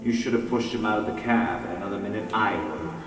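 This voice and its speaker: A man playing the gruff, jealous, sardonic ghost of a sea captain in an audio drama. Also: fairly low pitch, fast talker, over music, lightly acted. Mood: grumbling, jealous. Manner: blustering, sarcastic. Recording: echoey hall, distant from mic.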